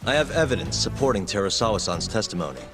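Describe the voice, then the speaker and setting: A composed, wry lawyer-detective from a dubbed video game. His voice sits low and moves freely up and down, with music playing behind it. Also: big pitch swings, quick pace. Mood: firm, formal. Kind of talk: English dub cutscene acting.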